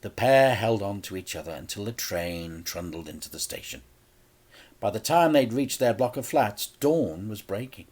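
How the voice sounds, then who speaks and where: A man reading a children's story aloud in a deliberate, dramatic way with suspenseful pauses. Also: on the low side, lively intonation, a little mellow.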